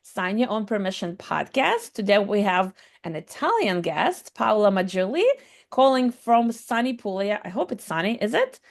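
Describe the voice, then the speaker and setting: A woman's voice: medium pitch, normal speed, creaky. A woman hosting a podcast interview in an upbeat, bubbly way. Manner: upbeat, bubbly, "super excited". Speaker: podcast host.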